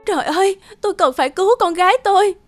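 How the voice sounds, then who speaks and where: An actress playing an anguished, emotional woman who pleads and grieves in an animated drama. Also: very high voice, fast, no echo, faint music behind. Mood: desperate pleading.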